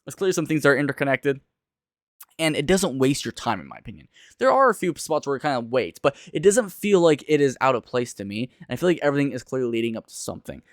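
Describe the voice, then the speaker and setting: A man's voice: mid-range voice, lively intonation, fast, no echo, studio quality. A young man giving casual reaction commentary as a video reviewer, talking in a rambling, informal and emphatic way.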